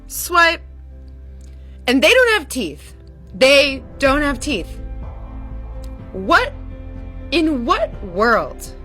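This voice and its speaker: Woman, indignant vlog rant, high and very lively pitch, bright tone, faint music. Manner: incredulous, indignant. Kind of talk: ranting vlog.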